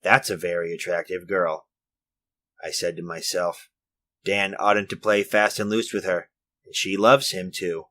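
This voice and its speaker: An actor playing a fussy, earnest workshop man with an old-fashioned, halting, exclaiming manner in a retro radio drama. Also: low-pitched, pushed and bright, heavily acted. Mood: uneasy, confiding. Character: fussy, earnest workshop colleague named Ernest, recounting events.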